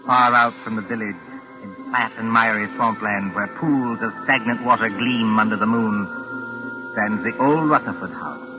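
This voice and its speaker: A man's voice: lowish voice, normal speed, natural voice, rough recording. A man acting as the solemn, atmospheric narrator of a 1940s radio suspense play, reading scripted descriptive prose. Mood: brooding. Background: dramatic music.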